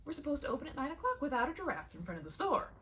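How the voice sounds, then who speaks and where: A woman's voice: high-pitched, big pitch swings, rapid-fire, a bit bright, far from the mic, voice pushed up. A woman voicing an earnest, courteous shopkeeper husband who talks in a stiffly formal, worried way for a storybook. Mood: annoyed.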